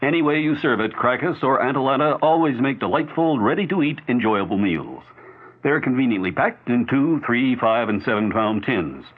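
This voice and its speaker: Male commercial announcer, 1970s radio, animated delivery, rough-edged husky tone, narrow phone-like band. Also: mid-range voice, normal speed. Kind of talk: scripted 1970s radio ad read. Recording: phone-like old radio broadcast.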